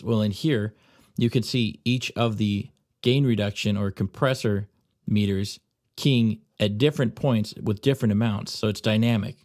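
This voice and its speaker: Lowish-voiced man narrating a tutorial, dry sound. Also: darkish tone, dry recording. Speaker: audio plug-in tutorial presenter. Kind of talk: instructional screencast narration.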